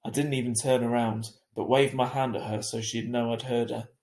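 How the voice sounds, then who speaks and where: A man's voice: medium pitch, moderate pace, echoey room. A man reading a children's novel aloud as a teacher would, expressively and acting out the dialogue. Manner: animated, acts out the dialogue. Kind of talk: expressive audiobook-style narration of a children's novel.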